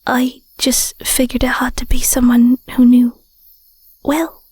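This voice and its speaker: A woman voicing an affectionate, bashful young Scottish werewolf girlfriend in an intimate audio roleplay, soft, whispery and a little hesitant, close on the mic. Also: high voice, level tone, a little slow, husky, dry recording, heavily acted. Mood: softly excited. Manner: hesitant, stammering, murmuring endearments. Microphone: close microphone.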